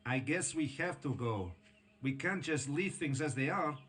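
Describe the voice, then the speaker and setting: An actor playing an eager, urgent villager ready to join a resistance, in dramatic video game dialogue. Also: male voice, on the high side, lively intonation, a little slow, a bit bright. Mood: resolved.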